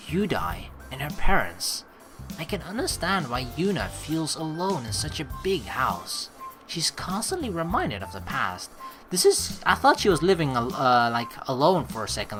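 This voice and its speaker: A woman hosting a let's play, mixing casual, rambling commentary with reading the game's text aloud, expressive and quick to swear. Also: deep voice, soft background music. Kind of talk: casual game commentary with read-aloud narration.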